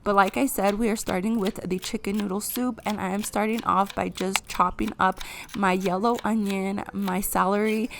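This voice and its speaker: A woman talking viewers through a recipe in a casual cooking vlog voice-over. Her voice is hoarse from a cold and she explains each step in a friendly way. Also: mid-range voice, steady tone, moderate pace, noisy background. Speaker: vlogger.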